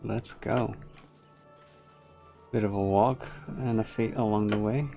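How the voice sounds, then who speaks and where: A man's voice: fairly low pitch, steady tone, takes it slowly, vocal fry, dark tone, soft background music. A man giving live gameplay commentary, muttering to himself as he plays and reads quest text. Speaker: game streamer.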